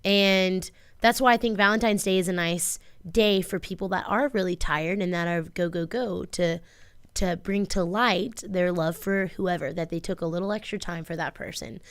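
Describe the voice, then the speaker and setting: Lady radio cohost just chatting, lowish smooth voice, kinda earnest and rambly. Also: normal speed. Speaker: radio show co-host. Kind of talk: casual chat. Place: community radio studio.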